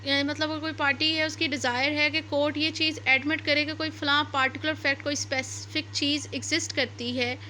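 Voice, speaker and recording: A woman's voice: high-pitched, fairly even intonation, a little fast, few pauses, bright, forceful tone, a little hiss or hum. A woman teaching law, reading passages aloud and then explaining them step by step, repeating and rephrasing her points. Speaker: law lecturer.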